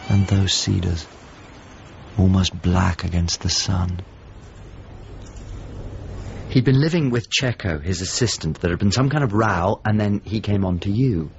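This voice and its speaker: A deep, gravelly, wistful painter. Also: male voice, a little mellow, highs cut off, a little hiss or hum, exaggerated voice. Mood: troubled, reflective. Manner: intimate, measured, halting phrases. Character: painter recalling a lost beloved master, wistful, devoted. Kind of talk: radio drama monologue and dialogue.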